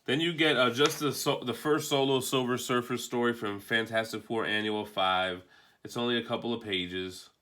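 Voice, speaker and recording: A man's voice: mid-range voice, fairly even intonation, moderate pace, sharp tone, slight background noise. A man giving an offhand, rambling, casual video review as a comic book collector, unscripted and mildly profane.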